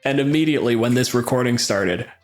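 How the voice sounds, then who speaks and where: A man's voice: medium pitch, quick pace, faint music behind. A man chatting casually as a podcast co-host, wry and given to casual swearing. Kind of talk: casual podcast panel chat.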